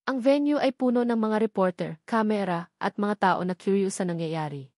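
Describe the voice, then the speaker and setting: A Filipino woman narrates a scripted drama in a mid-pitched voice. The recording is dry and close, with no room around the words. She delivers it as a storyteller reading prepared text. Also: normal speed, a little mellow, no echo.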